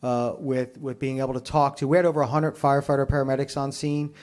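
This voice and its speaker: A man speaking as a fire chief at a public meeting, answering questions in a measured, formal, explanatory way. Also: medium pitch, flat delivery, darkish tone.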